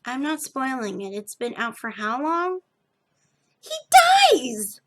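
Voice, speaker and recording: A young woman with a slightly gravelly edge, chatting casually and emphatically as a podcast host, often restarting her sentences. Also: a little high, takes it slowly. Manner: animated, emphatic, self-interrupting. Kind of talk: casual rambling chat to viewers.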